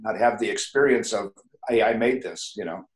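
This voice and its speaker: A man's voice: on the low side, normal speed. An older man chatting casually as a participant in a hobby video call. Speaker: meetup participant, model builder. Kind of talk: casual chat. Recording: echoey room on video call.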